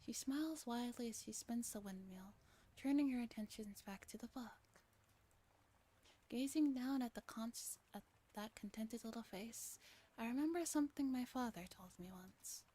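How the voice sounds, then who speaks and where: A woman reading a story aloud softly as a streamer, in a breathy ASMR style that slips into whispers. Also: on the high side, moderate pace. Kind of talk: soft-spoken ASMR narration with chat asides.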